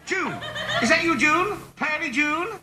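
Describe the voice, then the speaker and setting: A man's voice: very high-pitched, animated, measured pace. A man with an English accent playing a bumbling suburban husband in a TV sitcom, hesitant and theatrically flustered. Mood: brisk, impatient. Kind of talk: British TV sitcom acting. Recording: very noisy old TV recording.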